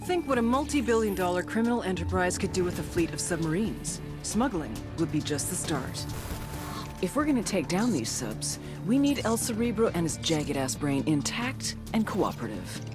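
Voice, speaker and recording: An actress playing a tough, sardonic intelligence agent briefing operatives in a game, wry and punchy, with cynical bite. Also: female voice, fairly low pitch, big pitch swings, moderate pace. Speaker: mission briefer. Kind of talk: scripted game cutscene narration. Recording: music bed under the voice.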